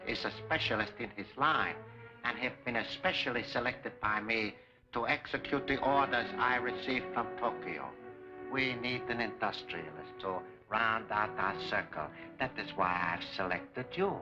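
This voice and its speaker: An actor playing a suave, menacing Japanese mastermind in a 1940s film serial, speaking formally with cold, pompous courtesy. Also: male voice, medium pitch, bright, forceful tone. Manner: formal, grandiose, coolly courteous. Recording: dull 1940s film serial soundtrack, music.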